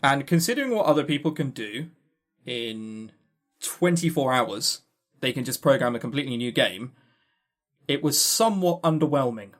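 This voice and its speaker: A man's voice: mid-range voice, lively intonation, moderate pace. A man co-hosting a podcast in casual review chat, dryly sarcastic and often repeating words as he starts a sentence. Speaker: podcast co-host.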